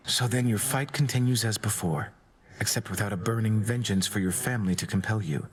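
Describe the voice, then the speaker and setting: A man voicing a soft-spoken, courteous male god in a studio game. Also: on the low side, brisk, slight background noise, lightly acted. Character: courtly male god of doom, gentle and polite. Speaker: game character.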